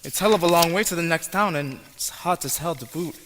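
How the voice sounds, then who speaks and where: A man's voice: a little high, brisk, lightly acted. An actor playing a polite, earnest young immigrant man who is lost and on his way to the city. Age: young adult. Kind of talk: student stage play. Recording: reverberant hall, faint noise.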